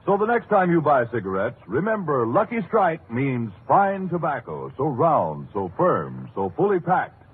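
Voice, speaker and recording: A man's voice: on the high side, wildly swooping pitch, measured pace, narrow, tinny audio. A man delivering emphatic slogans as a commercial announcer in 1940s radio style. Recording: hissy, band-limited vintage radio broadcast.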